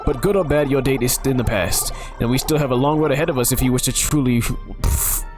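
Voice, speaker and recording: A man's voice: mid-range voice, a little fast, music in the background, lightly acted. Meddling love spirit giving romantic advice, read by a man, coaxing and upbeat. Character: meddling matchmaking spirit of love, cheery and pushy.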